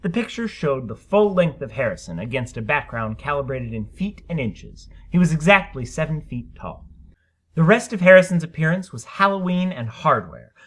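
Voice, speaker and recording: A man's voice: a little high, big pitch swings, moderate pace. A man narrating a short story as a dramatic reading, with theatrical, emphatic delivery.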